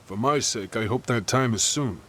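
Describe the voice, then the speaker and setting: An actor playing a bluff, frustrated warrior hero in a video game cutscene, emphatic and earnest. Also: male voice, medium pitch, moderate pace, a bit bright, natural voice. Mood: exasperated.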